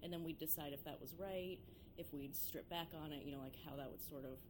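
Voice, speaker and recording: A young woman with a low speaking voice talks casually about her music, filling her sentences with "like" and "you know". A faint background hiss sits under the voice. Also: brisk. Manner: chatty, many fillers like "like" and "you know". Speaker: violinist and singer in a duo. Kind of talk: casual interview chat.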